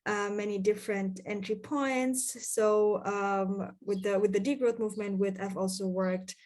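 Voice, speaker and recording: A woman's voice: mid-range voice, steady tone, a little slow, a little mellow. Hesitant woman activist from Bangladesh. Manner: thoughtful, hesitant, many fillers and restarts. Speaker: climate activist guest. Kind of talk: interview answers.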